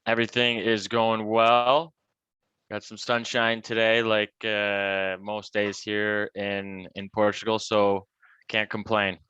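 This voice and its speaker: American man, 30s, interview guest; crisp, bright voice, laid-back and halting. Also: on the low side, no room sound, lo-fi sound. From Michigan, US. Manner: relaxed, halting, many restarts. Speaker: guest, cannabis advisor. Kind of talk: casual interview talk.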